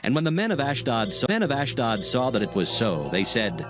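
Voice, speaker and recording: A man reads scripture as a narrator, solemn and measured. His voice sits at a middling pitch, with music playing clearly behind it. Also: big pitch swings. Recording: background music under the narration.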